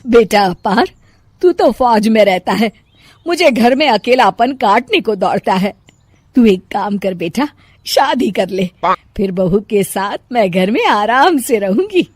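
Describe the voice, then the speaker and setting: A woman voicing a lonely, fussy middle-aged mother-in-law in a moral story, with an expressive, drawn-out, complaining delivery. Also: mid-range voice, dry recording. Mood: lonely, pleading. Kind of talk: animated moral-story character acting.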